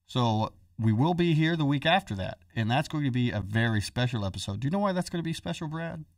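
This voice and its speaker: A man's voice: medium pitch, lively intonation, fast, no room sound, clean studio sound, recorded from a distance. A man hosting a podcast in casual chat, joking and telling a story in a chatty way. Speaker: podcast host.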